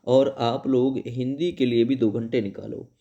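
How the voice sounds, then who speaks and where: Guy coaching students, mid pitched mellow voice, informal, repeats stuff to make the point. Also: steady tone, unhurried, muffled recording. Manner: repeats phrases for emphasis, frequent check-in tags. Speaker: exam coaching YouTuber. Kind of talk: informal explainer addressed to students.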